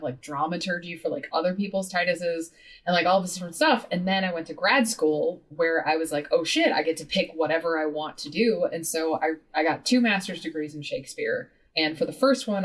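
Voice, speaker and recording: A woman in her thirties, a podcast guest talking in a lively, casual way, full of "like" and casual swearing. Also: sits low, recorded from a distance. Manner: animated, heavy use of "like", swears casually. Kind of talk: casual interview chat.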